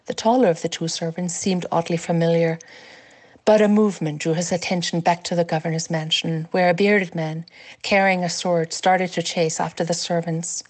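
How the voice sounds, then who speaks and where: A woman reading a passage from her novel aloud with measured, expressive storytelling. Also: lowish voice. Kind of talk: fiction reading.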